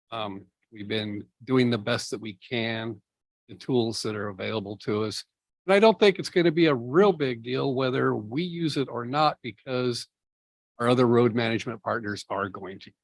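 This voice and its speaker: A city staff member explaining budget items at a public meeting, measured and explanatory, with many fillers. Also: male voice, fairly low pitch, dry recording, poor audio. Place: meeting room.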